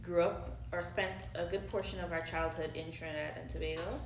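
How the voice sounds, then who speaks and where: Woman from Canada, very deep voice, reflective, thinking aloud, echoey room. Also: fairly even intonation, brisk. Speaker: interviewee. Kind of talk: conversational interview. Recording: echoey room, faint noise.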